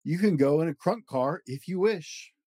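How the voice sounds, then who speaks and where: A man's voice: a little high, lively intonation, moderate pace, warm tone, no room sound. A man hosting a children's story podcast, reading aloud expressively with an emphatic, rhythmic delivery.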